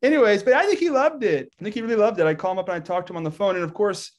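A man in his thirties from Texas, a scholar talking as a guest on a video call, animated, laughing often and restarting his sentences. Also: sits high, racing. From Texas, United States. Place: indoor room with plain wall. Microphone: video call webcam.

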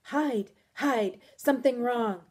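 A woman's voice: medium pitch, animated, unhurried, a little mellow. Woman acting a frightened, simple-minded companion. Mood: alarmed, pleading. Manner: broken, simple phrasing.

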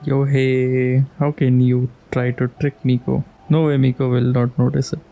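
Game streamer, soft mellow tone, casual, giggly commentary, faint music behind. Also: medium pitch, level tone, normal speed, gravelly, highs cut off. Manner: giggly, reacting to characters.

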